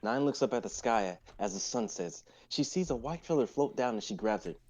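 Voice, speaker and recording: A man's voice: medium pitch, expressive, normal speed, darkish tone. A young man narrating stage directions in a live amateur script reading. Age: young adult.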